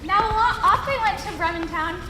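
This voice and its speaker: A woman narrates a children's folktale show in a very high, presentational voice. She is in an echoing hall with clear noise behind her. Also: brisk. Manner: presentational, audience-addressing. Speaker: stage narrator. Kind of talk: scripted children's storytelling narration. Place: large hall, live stage show. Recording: echoey hall, background noise.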